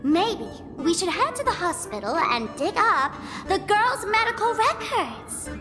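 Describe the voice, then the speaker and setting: A woman's voice: very high-pitched, big pitch swings, unhurried, exaggerated voice. A woman voicing a playful, scheming young trickster in a video game, with a teasing, sing-song, theatrical delivery. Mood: mock dramatic. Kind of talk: English video game voice acting. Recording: music playing behind the voice.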